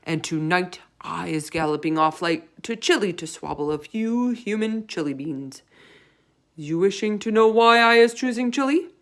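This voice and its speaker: A woman voicing a huge, greedy, man-eating male giant in a storybook, loud, coarse and insulting in ungrammatical speech. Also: fairly low pitch, animated, moderate pace. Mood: gloating. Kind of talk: storybook read-aloud character voice.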